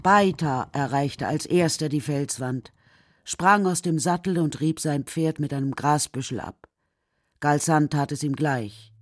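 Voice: mid-range voice, unhurried, dry recording, studio quality. A narrator telling a children's story in a scripted radio drama style.

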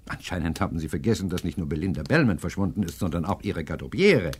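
A pompous male professor sleuth from a radio mystery. His voice is low and husky, swinging widely in pitch as he pronounces ornate, pedantic sentences and issues impatient orders. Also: lively intonation, fast talker. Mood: commanding, excited. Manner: pedantic, ornate, theatrical delivery. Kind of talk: German radio crime play acting.

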